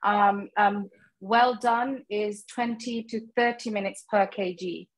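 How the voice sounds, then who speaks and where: A woman's voice: medium pitch, fairly even intonation, slow pace. A middle-aged woman casually talking through a recipe as she cooks in a home kitchen. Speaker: guest cook demonstrating a recipe. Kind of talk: casual cooking demonstration.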